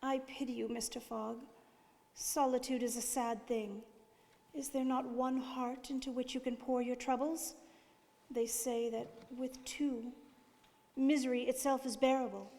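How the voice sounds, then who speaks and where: A woman playing a gentle, devoted princess in a radio drama, formal, earnest and pleading. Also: high voice, little pitch movement, unhurried, gravelly. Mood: tender. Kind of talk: stage radio drama acting. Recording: very reverberant studio hall.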